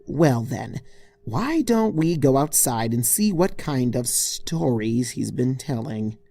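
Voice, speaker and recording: A man's voice: medium pitch, animated, slow, gravelly, mellow, exaggerated voice. A man voicing an indignant, bossy young female pony in a storybook reading, huffy and sarcastic. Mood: sly.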